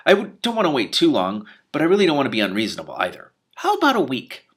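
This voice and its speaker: A very young storybook dragon, voiced by a man reading aloud, earnest and easily offended. The voice is husky and swings up and down as it fusses in a blunt, childlike way. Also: mid-range voice, wildly swooping pitch, quick pace, pitched above the performer's own voice. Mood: fussy, bargaining.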